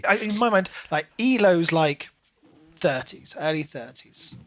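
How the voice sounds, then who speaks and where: A man's voice: on the high side, animated, a little slow, a bit bright, slight background noise. A man co-hosting a podcast in casual discussion, tentative and thinking aloud as he talks. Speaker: book podcast co-host.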